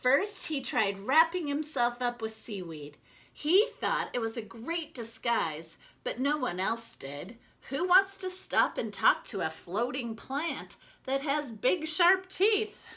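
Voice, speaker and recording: A woman reading a children's picture book aloud like a teacher, expressively, with deliberate dramatic emphasis. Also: fairly high pitch, sing-song, pushed and bright.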